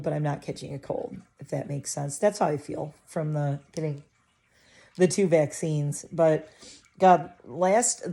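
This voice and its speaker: A woman's voice: low-pitched, vocal fry, warm tone. A middle-aged woman vlogger chatting casually to camera from her living room, rambling and interrupting herself, a little stuffy with a scratchy throat.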